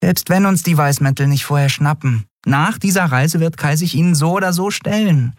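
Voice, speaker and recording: A man's voice: a little high, moderate pace, dry recording, studio quality, pitched above the performer's own voice, lightly acted. A man voicing a tiny winged female creature, playful, teasing and boastful, in an audiobook. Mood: gossipy, wry. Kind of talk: audiobook character voice.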